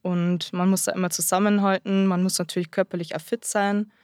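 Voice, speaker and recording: A nineteen-year-old woman speaks in a somewhat low voice, groping for words and filling pauses as she answers. The recording is dry and close. She talks casually, as a guest on a podcast. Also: flat delivery, a little mellow, no room sound. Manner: hesitant, many fillers and restarts. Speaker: guest, apprentice-trained carpenter.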